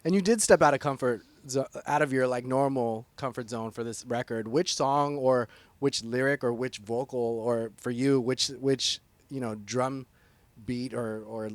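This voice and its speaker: A man's voice: medium pitch, no echo. A man interviewing a band, enthusiastic and rambling as he asks his questions. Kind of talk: casual music interview.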